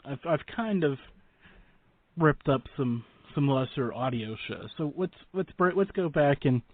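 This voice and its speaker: A man's voice: mid-range voice, expressive, unhurried, no room sound, narrow, tinny audio. A man hosting a talk radio interview in a casual, informal, joking way.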